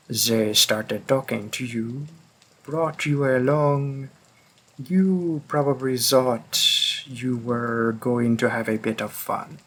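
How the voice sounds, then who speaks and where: Guy doing a centuries old vampire hunter for audio rp, raspy husky voice, talks slow, cool and menacing, kinda wry. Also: medium pitch, fairly bright tone, full character voice, low-quality recording. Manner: deliberate, probing, wry. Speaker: audio roleplay performer. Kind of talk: slow dramatic second-person roleplay monologue.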